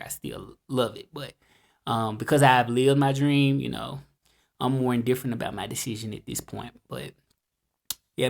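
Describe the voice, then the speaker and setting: A man in his thirties with a Southern US accent speaks straight to his viewers. His pitch sits mid-range. He rambles, with plenty of "um" and "like" as he circles back over his thoughts. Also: creaky. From United States. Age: 30s. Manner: rambling, many fillers and restarts. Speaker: vlogger. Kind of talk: casual monologue to camera. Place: home in Mexico, dogs barking outside.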